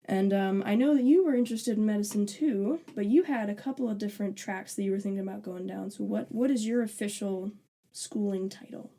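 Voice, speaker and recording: A young woman in her twenties with a mellow, warm tone, co-hosting a student podcast. She talks in a relaxed, conversational way. She jokes and laughs easily. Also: medium pitch, a little fast. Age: 20s. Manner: casual and joking, laughs easily. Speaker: podcast co-host, alternative medicine student. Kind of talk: casual chat.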